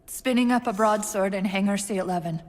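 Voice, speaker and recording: A woman playing a tender, weary artificial intelligence in a dramatic game cutscene, speaking softly and haltingly with sadness. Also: mid-range voice, natural voice. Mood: mournful. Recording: long cavernous reverb.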